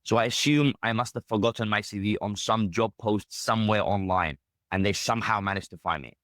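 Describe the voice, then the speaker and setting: British guy on a podcast, deep voice, talks quick, casual n emphatic, keeps dropping you knows. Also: fairly bright tone, dry recording, studio quality. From United Kingdom. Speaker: podcast guest. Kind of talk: casual personal storytelling.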